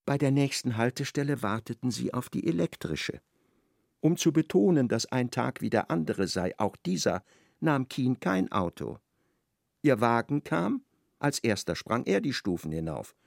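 A man narrates a literary radio play with ironic expressiveness. His mid-pitched voice is gravelly and highly animated, recorded dry and close. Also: moderate pace, no echo.

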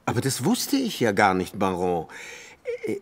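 An actor in a German radio play voicing a naive, slightly fussy scholar with a hesitant, inquisitive way of talking. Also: male voice, lowish voice, wildly swooping pitch, normal speed, exaggerated voice. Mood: astonished.

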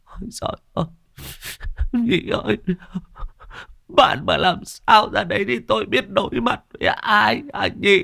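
A man's voice: high voice, gravelly, pushed and bright, voice pushed up, full character voice. A man voicing a melodramatic old man who sobs and wails over his dying friend, in an audio story. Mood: wailing. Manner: sobbing, wailing and drawn-out cries.

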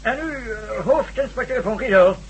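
An actor playing an evasive, stubborn president in a radio drama, speaking in a hesitant, pompous way and repeating himself. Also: male voice, high-pitched, moderate pace, dull audio. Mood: defensive, indignant. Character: evasive, fussy, stubborn head of state. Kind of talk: Dutch radio drama acting. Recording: slightly noisy old radio recording.

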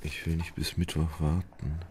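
An actor in a radio play, playing an anxious, indolent, melancholy gentleman in love, hesitant and sighing. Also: very deep voice, flat delivery, normal speed, slight background noise. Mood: agitated.

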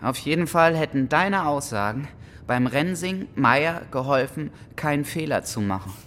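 A man's voice: on the high side, expressive, moderate pace, a bit bright, slight background noise. A man acting a cocky, sneering thug in an audio drama, mocking and provocative as he talks. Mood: mocking, contemptuous. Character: sneering, taunting far-right thug, contemptuous and cocky.

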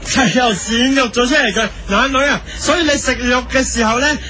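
Man acting high-pitched gluttonous Cantonese food host. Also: fairly quick, bright, forceful tone, slight background noise. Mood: enthusiastic. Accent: Hong Kong Cantonese. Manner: exaggerated, repetitive verbal tic, eager explaining. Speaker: food show presenter. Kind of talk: parody radio drama performance.

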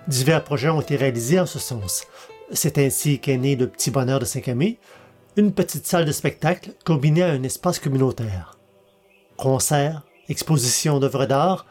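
A man's voice: on the high side, a little mellow, breathy edge. A man giving a scripted podcast introduction as its host.

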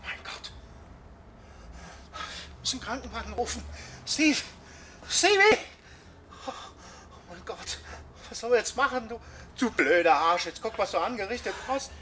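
Casting: hot-headed, agitated crook for radio crime play, high forceful voice, faint noise behind. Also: female voice, animated, moderate pace, pauses often. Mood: panicked, furious. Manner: agitated, exclaiming. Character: panicked, foul-mouthed, hot-headed crook. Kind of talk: German radio crime drama acting.

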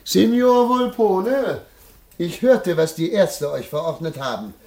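An actor playing a greedy, crude husband in a classic German radio play, halting and pompous in his talk. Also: a little high, big pitch swings, mellow. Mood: falsely courteous.

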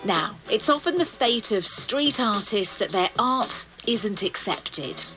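Lively female radio presenter, music behind. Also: mid-range voice, slow pace. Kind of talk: scripted broadcast narration.

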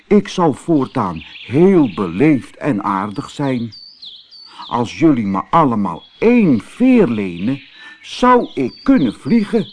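A man voicing a greedy, boastful, bossy male tortoise in a storybook, with emphatic, drawn-out pleading. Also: medium pitch, sing-song, darkish tone, a little hiss or hum. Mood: boastful, wheedling. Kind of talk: storybook character voice.

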